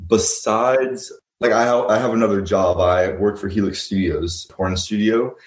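Man, twenties, from Texas, very low voice, roomy echoey sound, relaxed rambling chat. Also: unhurried. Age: 20s. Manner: easygoing, rambling, many "like" fillers. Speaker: interview guest. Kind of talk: casual chat.

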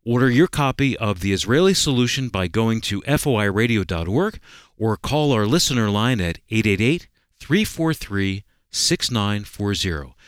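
A man's voice: fairly low pitch, expressive, moderate pace, clear, resonant tone, dry recording, studio quality. A man reading scripted promotional narration as a radio announcer.

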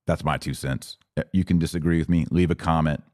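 A man's voice: very deep voice, fairly even intonation, a little fast, warm tone, no echo, clean studio sound. An American man hosting a live podcast, explaining things informally in a folksy, conversational way and addressing his listeners directly.

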